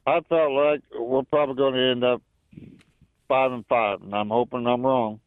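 A man's voice: medium pitch, fairly even intonation, moderate pace, no echo. An older man with an American accent calling a sports talk show by telephone to chat casually. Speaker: phone-in caller. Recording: thin muffled phone line.

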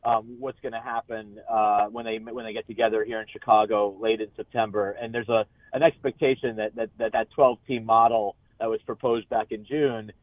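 A man giving sports analysis as a guest in a live radio interview, speaking haltingly with repeated words and frequent filler phrases. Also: lowish voice, flat delivery, no echo. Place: remote call into a radio show. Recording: muffled, band-limited call-in line.

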